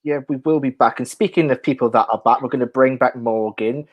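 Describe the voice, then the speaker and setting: A man's voice: mid-range voice, brisk. A man hosting a casual panel chat, putting questions to his guests and steering the conversation. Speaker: talk show host.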